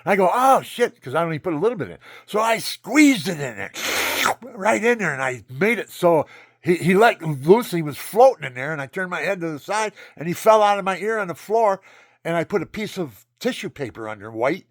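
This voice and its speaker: A man telling a rambling personal story in a monologue, jumping between loud outbursts and low mutters. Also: very animated, brisk, no echo, clean studio sound. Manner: calls himself low-voiced.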